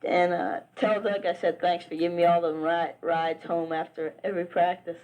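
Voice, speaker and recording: A man's voice: fairly high pitch, level tone, moderate pace, lo-fi sound. A teenage boy from Virginia with a Southern accent, chatting rambly to a friend back home in a spoken letter, full of "uh" and restarts. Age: teens. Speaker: high school wrestler.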